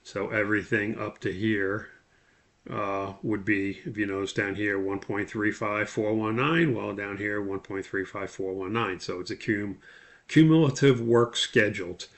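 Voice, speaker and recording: A man giving an instructional walkthrough as a course instructor, explaining in a halting way and spelling out terms. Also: on the low side.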